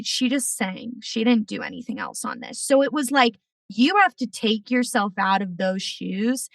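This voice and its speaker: A woman podcast host with a raspy voice, talking in an outspoken, emphatic way in casual conversation. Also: on the high side, sharp tone, no room sound, recorded from a distance. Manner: outspoken, swears freely, emphatic.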